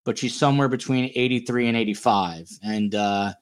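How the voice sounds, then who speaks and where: A man's voice: mid-range voice, fairly even intonation, moderate pace, clear, resonant tone. A man hosting a casual true-crime panel podcast, talking in a blunt, teasing, jokey way. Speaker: podcast host.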